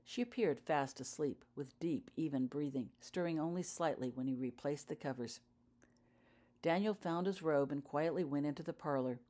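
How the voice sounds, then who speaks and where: A woman's voice: low-pitched, moderate pace, mellow. A woman reading her own fiction aloud as audiobook narration, telling the story in character-focused prose.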